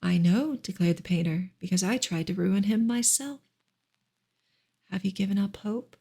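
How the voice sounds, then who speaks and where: Soft, husky, creaky woman reading ASMR. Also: medium pitch, moderate pace. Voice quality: breathy, soft, often slipping into whisper. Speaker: audiobook-style reader, ASMR host.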